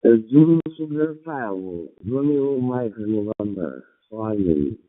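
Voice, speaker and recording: A man working as a ham radio operator, speaking haltingly and hesitantly over the air. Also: mid-range voice, phone-quality sound. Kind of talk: amateur radio contact.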